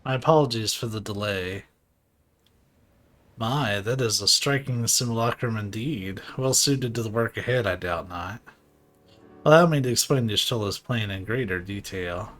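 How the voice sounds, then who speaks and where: A man reading game dialogue aloud for a formal, archaic-speaking scholar ally, in a plain, fairly flat way. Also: fairly low pitch, moderate pace, close to an everyday voice.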